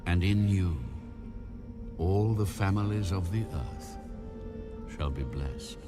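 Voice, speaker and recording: Male actor as solemn divine figure, deep voice, widely swooping intonation, weighty scripture delivery, audio Bible drama, music underneath. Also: animated, takes it slowly. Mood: solemn, commanding.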